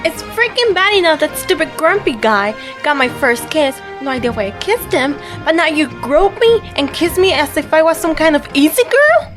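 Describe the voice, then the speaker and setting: A woman's voice: high voice, very animated, normal speed, few pauses, music in the background. A young woman playing a feisty, guarded and weary witch girl in an audio drama, blunt but hesitant. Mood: indignant. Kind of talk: fan audio drama acting.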